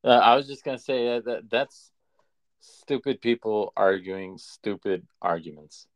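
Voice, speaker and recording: A man's voice: fairly low pitch, a little slow. A man co-hosting a podcast, chatting casually in an agreeable, hesitant way as he thinks aloud. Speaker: podcast co-host. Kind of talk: casual chat.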